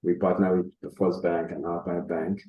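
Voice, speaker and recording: Very deep, flat-voiced earnest man. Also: moderate pace, echoey room, highs cut off. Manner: earnest, enthusiastic. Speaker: panel guest, nonprofit leader. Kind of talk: casual panel conversation.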